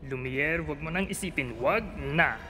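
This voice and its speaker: A man's voice: on the high side, measured pace, a little hiss or hum. A man voicing a fussy, anxious enchanted clock butler in a cartoon fan dub, flustered, bossy and protesting. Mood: hushed, dismissive. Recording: loud music behind the voice.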